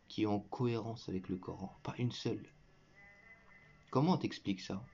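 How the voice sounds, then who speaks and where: A man's voice: mid-range voice, a little mellow, a little hiss or hum. A man giving a combative religious commentary to his viewers, lecturing and scornful, addressing the listener directly and breaking into mocking laughter. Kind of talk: combative reaction monologue to viewers. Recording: muffled lo-fi recording.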